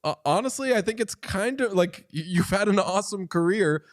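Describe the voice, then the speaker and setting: A man's voice: high voice, expressive, brisk. A man hosting a podcast, chatting casually and animatedly about hockey, swearing freely. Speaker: podcast host. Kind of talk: casual sports chat.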